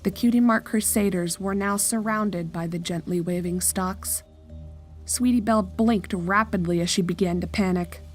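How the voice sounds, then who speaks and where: A woman's voice: mid-range voice, fairly even intonation, moderate pace, faint music behind. Woman narrating a scripted horror story.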